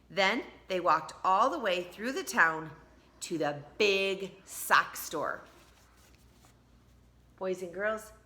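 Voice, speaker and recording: A woman teacher reading a storybook aloud to children, expressively, pausing to put questions to them. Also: on the low side. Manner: expressive, questioning the young listeners.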